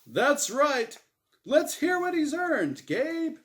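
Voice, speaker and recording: Man putting on a loud, high-pitched game show host. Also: very animated, full character voice. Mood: triumphant. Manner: showy, exclamatory announcer delivery with drawn-out words. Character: gleeful, booming game show host from the afterlife. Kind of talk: game show hosting in a story reading.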